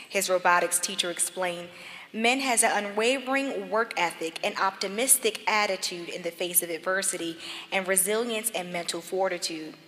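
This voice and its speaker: A woman reads scholarship citations from a lectern in a large church. Her voice is bright and a little low. Each phrase carries a long reverberant tail through the hall. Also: slight background noise. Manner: apologetic over name mistakes. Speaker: awards presenter. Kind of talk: reading award citations.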